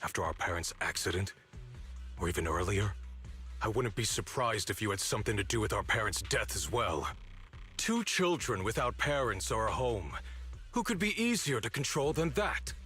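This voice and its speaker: A man's voice: fairly low pitch, big pitch swings, normal speed, sharp tone. An actor playing a bitter, resolute young man, an orphaned former disciple, in a dramatic video game with sardonic, accusing delivery. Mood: bitter accusation. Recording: studio voice over background music. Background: music.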